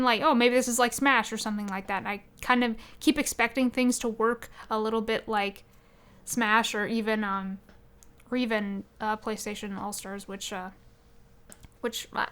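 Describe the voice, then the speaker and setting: A woman co-hosting a podcast, chatting casually about video games in an animated, expressive way. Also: on the high side, smooth. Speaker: podcast co-host.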